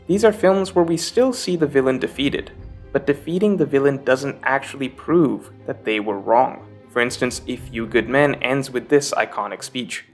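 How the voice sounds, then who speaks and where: Male video essay narrator, mid-pitched, measured and emphatic, scripted analysis with faint guitar music behind.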